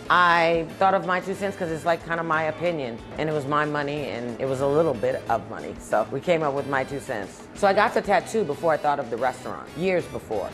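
A woman in her forties, a chef and restaurant owner, talking about her food in a relaxed interview. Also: low voice. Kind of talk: interview soundbites. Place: restaurant dining room. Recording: music bed under voice.

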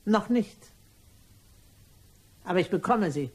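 A woman's voice: medium pitch, expressive, takes it slowly, a little mellow, slightly rough. A woman playing a sly, chatty cleaning lady turned blackmailer in a crime radio play, ingratiating and mock-innocent in manner. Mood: sly, insinuating.

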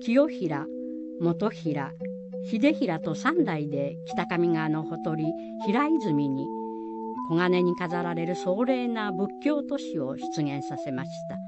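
A woman's voice: fairly low pitch, very animated, slow. A woman narrating a historical folk tale for a radio drama as a scripted storyteller. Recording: dull, lo-fi sound. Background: music.

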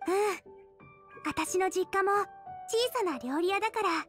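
Cheerful anime game girl chef: extremely high, bright, eager and friendly. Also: normal speed, faint music behind. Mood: earnest, warm. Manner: bubbly, encouraging. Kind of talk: Japanese anime game voice acting.

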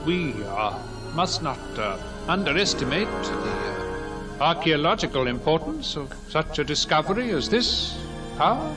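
Learned, curious gentleman explorer in vintage radio horror; high, animated, theatrical, heavy music. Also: measured pace. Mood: uneasy. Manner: theatrical, exclaiming, hesitant when unnerved. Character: inquisitive, learned gentleman companion exploring a crypt. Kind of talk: vintage radio drama acting. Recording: old radio drama recording, loud music.

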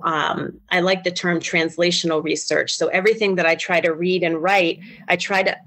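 Female, American, middle-aged, lower register; researcher guest giving explanatory interview answers. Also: fairly bright tone. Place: indoors, on a video call. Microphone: video call.

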